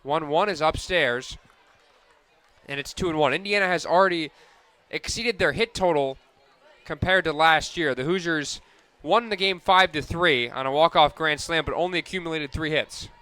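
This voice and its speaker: A man with a fairly high, bright voice calls softball play-by-play for radio. His delivery is crisp and clean, in the style of live sports commentary. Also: normal speed.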